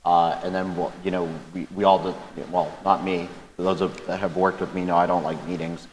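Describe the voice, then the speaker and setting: A man with a loud, very low voice speaks on a conference panel. He talks conversationally, stumbling and repeating words as he goes. The sound is roomy, with a long hall reverb and faint ambience behind him. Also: flat delivery, moderate pace, a little hiss or hum. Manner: conversational, frequent restarts and stammered repeats. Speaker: government panelist. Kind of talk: panel discussion.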